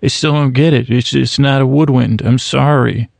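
A man in his thirties drifts through a rambling bedtime monologue with a heavily gravelly, fry-laden voice. His delivery stays flat and unvaried, full of restarts and fillers, close on a dry mic. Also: mid-range voice, no echo. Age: 30s. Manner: slow, meandering, halting with many restarts and fillers. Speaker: sleep podcast host.

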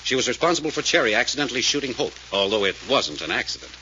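A brisk, decisive radio detective of the 1940s, grimly certain and authoritative. His delivery is fast and animated, with swooping pitch. The old recording is muffled, with some noise. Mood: firm, certain. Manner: firm, authoritative, explaining. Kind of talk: 1940s radio mystery acting.

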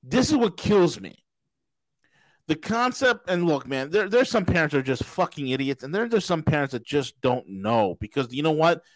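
A man hosting a podcast, talking in loud, profane, mocking rants. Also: fairly high pitch, very animated, moderate pace, no echo, rough recording. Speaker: podcast host. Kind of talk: casual talk show banter.

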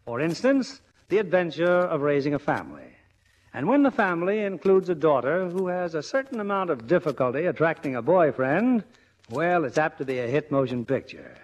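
A man's voice: on the high side, sing-song, a little fast. A man hosting in scripted, theatrical 1950s radio style, polished and formal. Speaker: radio theatre host and producer. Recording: dull old radio broadcast recording.

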